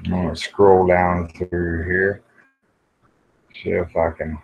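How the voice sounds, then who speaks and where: A man's voice: low-pitched, flat delivery, takes it slowly, gravelly. A man, hosting a casual, rambling livestream in a folksy, muttering way on dull, lo-fi audio. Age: 50s. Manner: folksy, muttering to himself while browsing. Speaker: livestream host. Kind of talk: casual rambling live chat.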